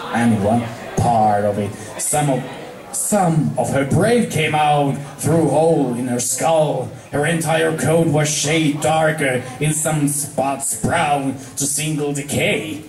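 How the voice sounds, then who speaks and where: Guy reading fanfic out loud, slow and stumbly, echoey, kinda far from mic. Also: mid-range voice. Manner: halting, stumbles over words. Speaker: fan fiction reader. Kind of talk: amateur story narration, read aloud. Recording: roomy echo, distant from microphone.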